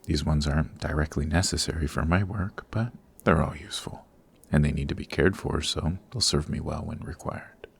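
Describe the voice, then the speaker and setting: Male actor as supervillain boss, very deep, gravelly fry, suave, formal, patient, exacting, instructive, ASMR roleplay. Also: moderate pace, full character voice.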